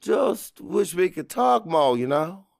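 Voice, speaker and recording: A man's voice: high-pitched, sing-song, slow pace, vocal fry, no room sound, studio quality, pitched above the performer's own voice, heavily acted. A man playing a middle-aged Cajun father, anxious and guarded, narrating his own story in a brooding, conversational audiobook style. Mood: awkward, tentative. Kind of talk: first-person dramatic audiobook narration.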